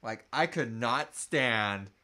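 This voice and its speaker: A man in his thirties with a mid-pitched voice chats casually as a live Q&A co-host. He is loose and jokey and swears offhandedly. He sounds recorded on a device in a small indoor room. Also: expressive, takes it slowly, pushed and bright. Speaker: podcast co-host answering listener questions.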